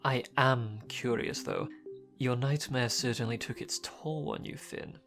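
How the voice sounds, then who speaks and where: A woman playing a calm, probing, quietly mysterious companion in an audio drama, speaking in a measured, deliberate way. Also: extremely low pitch, gravelly, over music, heavily acted. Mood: curious. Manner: measured, softly curious, deliberate.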